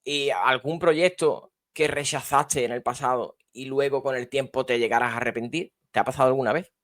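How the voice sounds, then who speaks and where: A man's voice: mid-range voice, fairly even intonation, moderate pace, fairly bright tone, no room sound, studio quality. A man hosting a podcast, asking short, friendly interview questions and giving brief prompts. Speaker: podcast host. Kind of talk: quick-fire interview questions.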